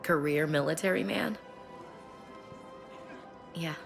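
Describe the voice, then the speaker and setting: A woman's voice: low voice, takes it slowly, vocal fry, exaggerated voice. An actress playing a tough, wry female soldier in a video game, talking informally to her commander. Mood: wistful, fond. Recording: background music.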